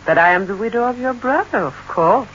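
A guarded widow with a secret, high, bright, reserved, muffled vintage broadcast. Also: female voice, animated, brisk. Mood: confiding. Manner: reserved, clipped replies. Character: guarded woman with a hidden past, cool and careful. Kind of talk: 1940s radio acting. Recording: muffled old radio recording, faint hiss.

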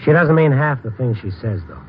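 An American actor playing a calm, wry man who narrates and consoles friends in a measured, noncommittal way, in old-time radio drama style. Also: mid-range voice, a little hiss or hum, natural voice. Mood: guarded. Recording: muffled old radio recording, music behind.

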